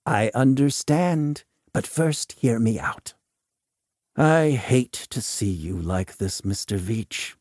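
Eccentric shadowy doctor, played by a male reader: formal, coolly mocking, theatrical, highly animated intonation, dry close sound. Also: slow, no room sound. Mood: wry, cautioning. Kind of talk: audiobook character dialogue.

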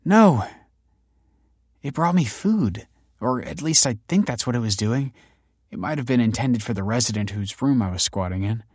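A man's voice: medium pitch, lively intonation, normal speed, darkish tone, breathy edge, dry recording, clean studio sound. A man voicing an alarmed, insistent woman in a narrated story, emphatic and pleading. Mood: shaken, recollecting. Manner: emphatic, pleading, stammering when frightened.

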